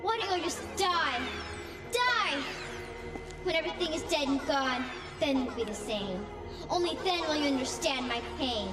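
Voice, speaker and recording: A woman's voice: sits high, expressive, normal speed. An actress voicing the embittered, melodramatic ghost of an unloved young woman in a video game. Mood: vengeful rage. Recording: echoing, distant, loud music behind.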